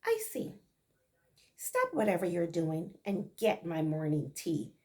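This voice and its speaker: A woman's voice: medium pitch, very animated, a little slow. Woman doing a haughty, irate mistress of the house. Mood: cold. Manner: sharp, commanding.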